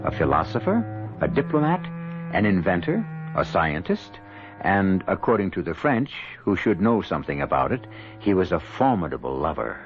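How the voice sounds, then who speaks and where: A man with a low voice hosts a vintage radio drama. His scripted narration is theatrical and deliberate, with lively swings in pitch. Music plays behind him. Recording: dull vintage radio recording.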